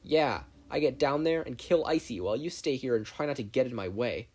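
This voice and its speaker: A man's voice: mid-range voice, expressive, fairly quick, darkish tone, pitched above the performer's own voice, close to an everyday voice. A male narrator acts out a hot-tempered teenage boy from a hero story. He is brash and hostile, throwing out curt, grunting lines with open contempt. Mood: scornful.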